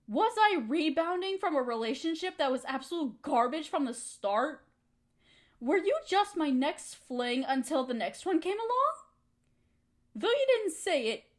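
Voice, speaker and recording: A woman's voice: high-pitched, big pitch swings, measured pace. A woman playing a hurt, resentful young woman confronting her roommate in an emotional, accusatory audio roleplay monologue. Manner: emotional, accusatory, pointed rhetorical questions.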